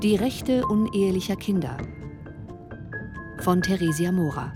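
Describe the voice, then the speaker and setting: A woman's voice: lowish voice, lively intonation, unhurried, loud music behind the voice. A woman reading scripted programme credits as a radio drama announcer.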